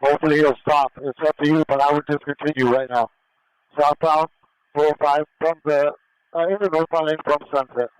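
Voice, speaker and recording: Man on police radio, crisp and clipped. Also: a little high, little pitch movement, brisk, dry recording, rough recording. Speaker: police air unit observer calling a pursuit. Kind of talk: live police radio pursuit commentary. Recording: police scanner radio transmission.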